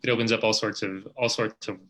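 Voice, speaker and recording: A male host opens and guides an interview in an earnest, thoughtful way, often restarting his sentences and filling pauses with "uh". His voice sits on the low side yet has a bright, forceful edge. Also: steady tone. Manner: earnest, with many fillers and restarts.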